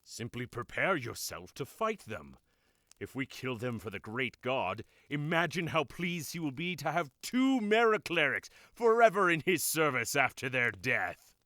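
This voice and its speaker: An actor playing a zealous, assured high priest of a cult in a fantasy audio drama, declaiming theatrically. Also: male voice, very animated, unhurried, sharp tone, no echo. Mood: dismissive, assured.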